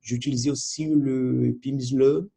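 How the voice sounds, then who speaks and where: A man whose first language is Portuguese, speaking French as a learner with a Portuguese accent in a video diary, halting and searching for words with many restarts. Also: medium pitch, steady tone, creaky, dark tone, slightly rough. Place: at home by his computer.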